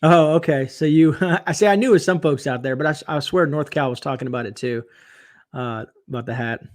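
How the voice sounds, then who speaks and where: A man hosting a casual late-night livestream, chatting and reading viewer comments aloud, his voice getting hoarse. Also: fairly high pitch, brisk. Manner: chatty, reads viewer comments aloud, frequent fillers and laughs. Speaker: livestream host.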